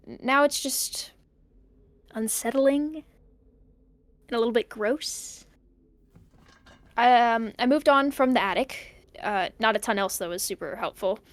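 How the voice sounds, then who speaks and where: A woman plays an uneasy young narrator of an audio drama diary. The voice sits fairly high, in a very dry recording. The delivery is hesitant and rambling, turning things over pensively. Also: no echo. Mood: wry, uneasy. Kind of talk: audio drama monologue, found-tape diary.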